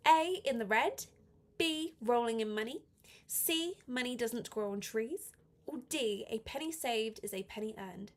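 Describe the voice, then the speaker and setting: A woman with a fairly high voice that carries a slight cold. She teaches a live online language class, reading exercise items out step by step and chatting to learners with plenty of encouragement. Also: lively intonation, a little slow. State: slight head cold affecting the voice.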